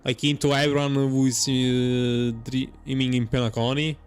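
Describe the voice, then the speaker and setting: A man giving casual gameplay commentary, reacting in a halting, rambling, informal way. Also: medium pitch, little pitch movement, clean tone. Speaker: gamer playing and reacting.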